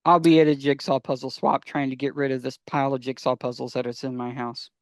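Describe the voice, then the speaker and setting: A man's voice: medium pitch, level tone, fast, dry recording. A man chatting casually as a podcast guest, making emphatic points in short, restated phrases. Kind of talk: casual chat.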